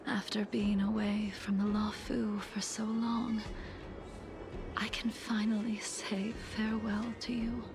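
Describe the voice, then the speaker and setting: A solemn, grieving warrior woman from a video game. She speaks slowly and with measured restraint in a hushed, breathy voice that creaks at the edges. Music plays behind her. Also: medium pitch, little pitch movement. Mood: cool, composed. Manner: slow, measured, grave. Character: solemn, grieving veteran swordswoman, cool and restrained. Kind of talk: English-dubbed video game story acting.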